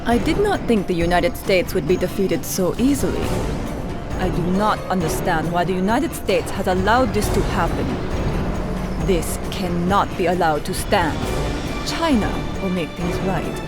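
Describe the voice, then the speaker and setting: Stern military commander, blaring music. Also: female voice, lowish voice, lively intonation, moderate pace. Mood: indignant, resolute. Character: stern, indignant military commander, proud and vengeful. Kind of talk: dramatic game cutscene acting. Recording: very loud music behind the voice.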